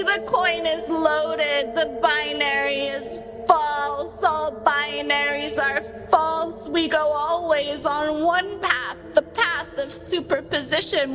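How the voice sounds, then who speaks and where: A woman's voice: high voice, fairly bright tone. A woman playing an otherworldly, grandiose seer who craves spice, with an incantatory delivery, in a radio play.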